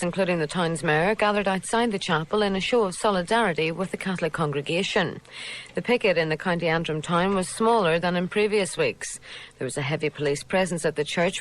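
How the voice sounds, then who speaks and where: Northern Irish woman newsreader, lowish voice. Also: rough recording. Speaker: radio newsreader. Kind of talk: scripted radio news bulletin.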